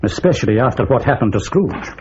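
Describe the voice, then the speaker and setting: An actor playing a wry, sceptical British intelligence officer in a radio drama. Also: male voice, medium pitch, expressive, quick pace, lo-fi sound, noisy background, lightly acted. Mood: sceptical, dry.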